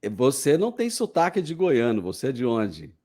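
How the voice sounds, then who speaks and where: A man's voice: mid-range voice, wildly swooping pitch, normal speed, warm tone. A man hosting a casual interview, asking friendly, joking questions. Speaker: host.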